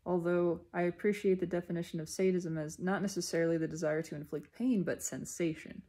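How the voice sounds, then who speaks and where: A woman giving a reflective spiritual monologue in a deliberate manner, pausing mid-sentence and speaking in short phrases. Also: lowish voice, steady tone, dark tone. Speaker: spiritual self-reflection vlogger.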